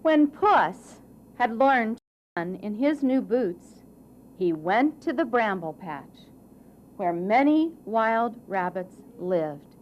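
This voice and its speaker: A woman narrating a children's story in a deliberate, expressive way with dramatic phrasing. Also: on the high side, lo-fi sound, slight background noise.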